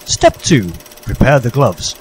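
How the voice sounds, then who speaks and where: A man's voice: medium pitch, sing-song, slow pace, breathy edge. A man narrating a parody vintage public information film in a formal, jolly, old-fashioned instructor's manner.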